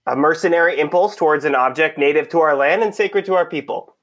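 A man's voice: on the high side, fast talker, fairly bright tone, slight background noise, natural voice. An actor in a mystery audio play, playing an impatient, blunt undercover policeman who poses as a buyer. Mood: businesslike. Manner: blunt, exasperated, demanding. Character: impatient, foul-mouthed male undercover cop posing as buyer.

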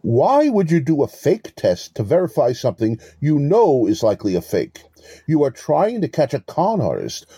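A sly male swindler in a mystery audio play, acted by a man. His voice is very dark and husky, and it swings freely in pitch. He speaks with measured, formal condescension, as if always holding something back. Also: wildly swooping pitch, no echo, full character voice. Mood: smug, scornful. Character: wily, devout-acting male relic con artist.

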